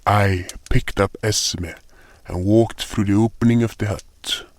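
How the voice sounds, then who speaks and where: A man's voice: on the low side, steady tone, measured pace, no echo. A man reading scripted first-person fantasy narration as a storyteller. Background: music.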